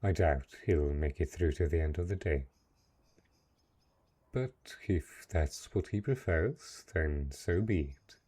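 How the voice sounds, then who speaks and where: A man playing a lonely, gentle but possessive dark knight in an intimate fantasy audio roleplay, speaking softly and haltingly. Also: extremely low pitch, breathy edge, no room sound, full character voice. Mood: quietly possessive.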